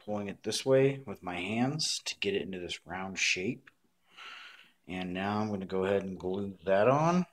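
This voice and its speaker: A man's voice: lowish voice, fairly bright tone. A man giving a casual hands-on craft tutorial, explaining step by step in plain words.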